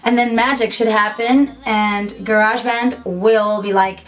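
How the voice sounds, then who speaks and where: A woman's voice: a little high, fairly even intonation, measured pace, fairly bright tone, poor audio. A young woman giving a casual, upbeat tutorial to camera from a small home music studio, chatty and full of "like". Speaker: musician and YouTuber.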